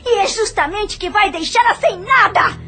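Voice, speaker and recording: A woman's voice: very high-pitched, moderate pace, sharp tone, faint music behind. An actress playing a bitter, jealous young woman in an audio novel, accusing and scornful in a melodramatic way. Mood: venomous contempt.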